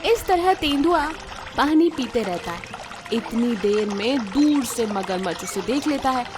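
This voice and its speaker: A woman's voice: a little high, animated, a little slow, music in the background. A woman giving scripted narration of a children's story.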